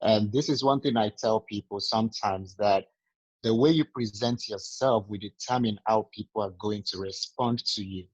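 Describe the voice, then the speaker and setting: A man's voice: on the low side, normal speed, no room sound, lo-fi sound. A man with a Nigerian accent, talking earnestly through sales advice in a casual group training session. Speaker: sales agent.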